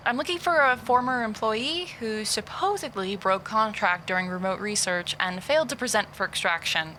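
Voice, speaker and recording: A woman's voice: medium pitch, expressive, moderate pace, bright, forceful tone, slight background noise, low-quality recording. A woman playing a weary, wry private investigator recording a hesitant, dry voice log in an audio drama. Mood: dry, wary.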